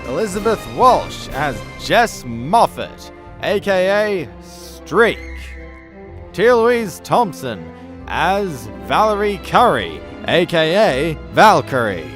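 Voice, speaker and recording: Slow, animated male radio play narrator. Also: sits high. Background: music behind the voice.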